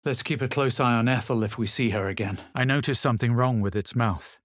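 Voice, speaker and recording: A man voicing a self-assured adventurer hero in a fantasy video game, with a husky voice and a measured, slightly superior, dramatic delivery. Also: medium pitch, no room sound, clean studio sound, close to an everyday voice. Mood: wary, observant. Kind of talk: video game voice acting, barks and past-tense narration.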